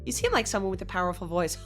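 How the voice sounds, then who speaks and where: A young woman streaming from home, chatting casually with viewers, greeting them by name and often breaking into giggles. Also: mid-range voice. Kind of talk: casual chat with live viewers. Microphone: desk mic, loud.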